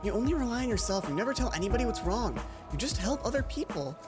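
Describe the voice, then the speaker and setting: A high-pitched, emotional schoolgirl from a visual novel, acted out by a man. She is stubborn and worn out, and her indignant pleas leap around in pitch. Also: sing-song, quick pace, over loud music. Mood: pleading.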